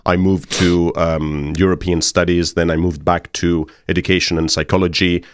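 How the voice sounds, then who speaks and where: A man from Greece, a university lecturer chatting as a podcast guest, measured and easygoing. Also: low-pitched, little pitch movement, smooth, no echo, clean studio sound. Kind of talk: casual interview chat.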